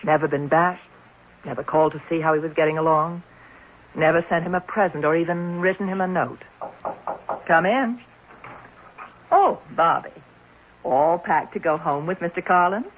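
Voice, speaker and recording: A woman's voice: low voice, moderate pace, long pauses, natural voice. Actress doing a prim no-nonsense woman running an orphanage, muffled old radio play, bit of hiss. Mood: matter-of-fact. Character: brisk, skeptical woman running a children's home. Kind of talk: 1970s radio drama acting. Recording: muffled, slightly noisy old radio broadcast.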